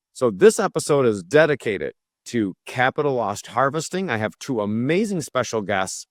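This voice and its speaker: A male podcast host at a middle pitch who sounds eager as he opens the show. He often stops and restarts his phrases while he talks. The sound is dry and close to the mic. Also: fairly bright tone, no echo. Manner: enthusiastic, restarts and self-corrections. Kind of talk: podcast interview.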